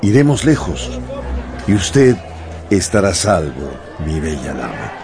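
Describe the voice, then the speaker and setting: An actor playing a courteous, reserved English gentleman traveller in a radio drama, speaking formally and gallantly. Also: low voice, sing-song, a little slow, creaky, full character voice. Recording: music behind the voice.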